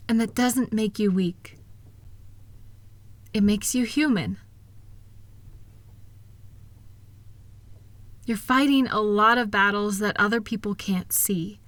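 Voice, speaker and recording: Casting: female actor for a tender, reassuring wife in a comfort audio roleplay; soothing, slow delivery, very dry intimate sound. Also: mid-range voice, dry recording, lightly acted. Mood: loving, reassuring. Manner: gentle, soothing, affectionate. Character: loving, reassuring wife comforting her spouse.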